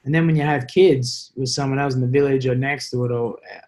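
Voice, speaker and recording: A man's voice: mid-range voice, steady tone, brisk, darkish tone. Rambling, jokey Australian man chatting. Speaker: interview guest, former special forces soldier. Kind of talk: casual podcast conversation, storytelling.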